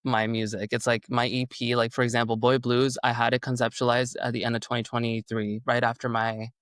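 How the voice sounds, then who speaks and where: A man's voice: mid-range voice, little pitch movement, brisk, fairly bright tone, no room sound, clean studio sound. A young man, a singer whose first language is Urdu, talking in a rambling casual way with many fillers. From Canada. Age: young adult. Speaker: singer-songwriter guest. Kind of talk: casual interview chat.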